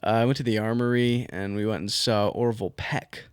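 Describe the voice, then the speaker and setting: A man's voice: on the low side, fairly even intonation, fairly quick, clean tone, dry recording, clean studio sound. A young man co-hosting a podcast in casual banter, joking and trash-talking his friend. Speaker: podcast co-host.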